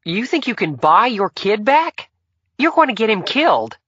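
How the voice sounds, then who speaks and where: A man voicing a sharp-tongued, fiery woman federal agent, clipped and angry. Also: a little high, fairly quick, no room sound, highs cut off, pitched above the performer's own voice, close to an everyday voice. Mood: furious.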